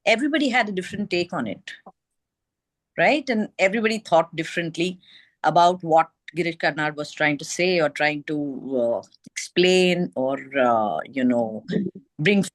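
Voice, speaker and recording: A woman's voice: low voice, moderate pace, dry recording. A woman with an Indian accent sharing her opinions in an informal group discussion as one of the participants. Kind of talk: informal book club discussion.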